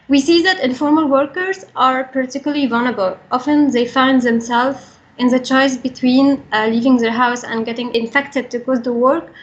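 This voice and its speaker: A young woman with an Egyptian accent giving a prepared talk in an earnest, formal manner with careful phrasing. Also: high-pitched. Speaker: regional coordinator for a young workers' organisation. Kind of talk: prepared talk, reading statement. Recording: dull, band-limited recording.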